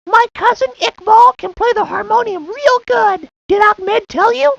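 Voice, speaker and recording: A woman's voice: very high-pitched, a little slow, gravelly, exaggerated voice. A woman voicing an enthusiastic young boy who loves rock and roll in a cartoon video game. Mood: chatty, eager. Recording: muffled lo-fi game audio.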